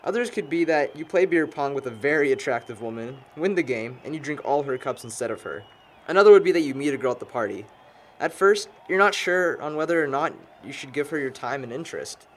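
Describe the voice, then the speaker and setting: A man's voice: on the high side, lively intonation, a little fast. A young American man playing a long-winded, dryly sarcastic know-it-all among friends in an audio drama. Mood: explaining. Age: young adult. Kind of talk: conversational audio drama acting.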